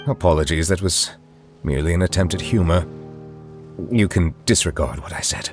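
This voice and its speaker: A man playing an ancient, dignified judge in a video game, speaking in a formal, measured, earnest and paternal way. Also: low voice, natural voice. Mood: sheepish, earnest. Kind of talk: scripted video game dialogue. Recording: music behind the voice.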